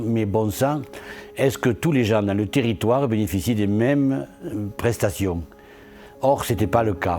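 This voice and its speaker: A man with a somewhat low-pitched voice speaks as an elected official presenting local achievements. His manner is earnest and rhetorical, as in a promotional interview. Soft music plays faintly behind him.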